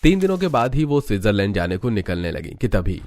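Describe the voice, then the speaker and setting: A man's voice: lowish voice, very animated, fast, a little mellow. A man reading scripted storybook narration as the narrator of a cartoon tale.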